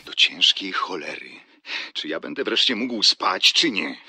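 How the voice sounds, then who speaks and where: An actor playing an irate, fed-up man on the phone in an audio drama, gruff and scolding. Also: sits low, big pitch swings, a little slow, bright, forceful tone, dry recording, exaggerated voice. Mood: furious, indignant. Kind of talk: audiobook radio drama acting.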